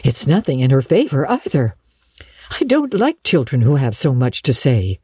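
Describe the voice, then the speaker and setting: A woman's voice: very deep voice, huge pitch swings, moderate pace, mellow, husky, dry recording, clean studio sound, exaggerated voice. A woman voicing a stern, exasperated middle-aged farm woman in an audiobook, with curt, emphatic scolding. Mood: firm, curt. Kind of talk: audiobook character acting.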